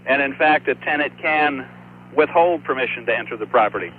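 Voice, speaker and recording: A man calling a radio show as a real estate agent, chatting casually and explaining things in an informal, halting, folksy way. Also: medium pitch. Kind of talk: casual phone call-in chat. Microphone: telephone. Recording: thin phone line, faint noise.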